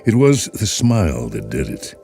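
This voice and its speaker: A man hosting and narrating a short story in an expressive, unhurried storytelling way. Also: sits low, creaky. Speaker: host and narrator. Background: faint music.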